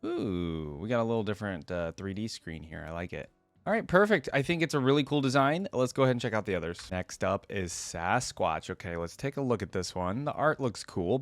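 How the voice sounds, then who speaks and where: A man with a lowish voice hosts a casual gaming reaction. His pitch moves around a lot as he thinks aloud in an informal, upbeat way. The sound is dry and close, with faint music behind him. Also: no room sound. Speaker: reaction video host.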